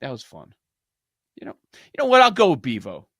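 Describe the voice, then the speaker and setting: A man hosting a sports betting show, animated and laughing through casual banter. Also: on the high side, a little fast, no echo, clean studio sound. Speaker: podcast host.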